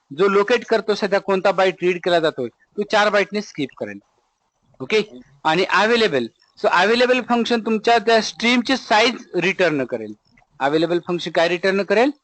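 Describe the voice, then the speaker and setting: A man's voice: high-pitched, a bit bright, dry recording, poor audio. A man with a Marathi accent giving a step-by-step lecture as an instructor, didactic, repeating points and asking rhetorical questions. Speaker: programming instructor.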